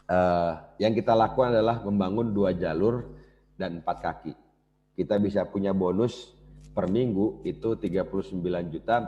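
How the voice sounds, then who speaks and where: Low mellow guy, talking to the crowd like a coach, muffled old tape sound. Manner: casual, addresses audience directly. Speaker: business speaker. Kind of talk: motivational seminar talk. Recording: dull lo-fi cassette recording.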